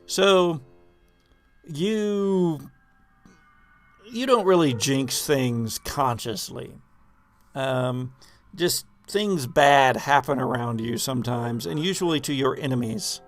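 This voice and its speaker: Guy game mastering a spooky tabletop game, creaky voice, stops and starts, slow, bit of music behind. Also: mid-range voice. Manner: halting, pauses mid-sentence for dramatic description. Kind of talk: improvised narration of a tabletop horror game.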